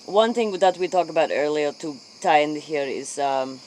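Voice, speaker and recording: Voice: mid-range voice, expressive, moderate pace, darkish tone, close to an everyday voice, poor audio. Performer acting an earnest interviewer in broken English. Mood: probing, earnest. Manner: clipped broken English, dropped articles. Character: earnest, curious late-night interviewer of vampires. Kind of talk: improvised spoof interview role play. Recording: faint noise, chirping insects.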